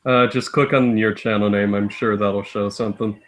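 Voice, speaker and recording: A man's voice: on the low side, fairly even intonation, normal speed. A man co-hosting a live stream in casual chat, chiming in with short, jokey reactions.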